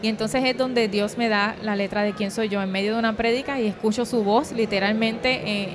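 A woman's voice: mid-range voice, fairly even intonation, brisk, noisy background. A young woman with a Puerto Rican accent giving an earnest, hesitant personal testimony in an interview, on a handheld microphone. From Puerto Rico. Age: young adult. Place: convention booth. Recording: crowd chatter at event.

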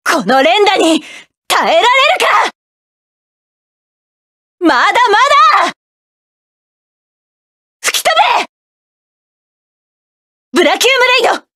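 A fierce young mecha pilot snapping out battle commands in a very high, bright voice that swoops widely. She speaks slowly and deliberately, and the recording is dry and close. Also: lively intonation, no echo, exaggerated voice. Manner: commanding, barking attack orders. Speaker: game character. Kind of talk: video game battle voice lines.

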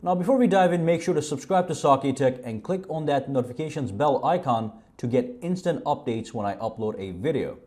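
A man's voice: medium pitch, expressive, a little fast, few pauses, a little mellow. A man hosting a tech tutorial, narrating a casual how-to demonstration.

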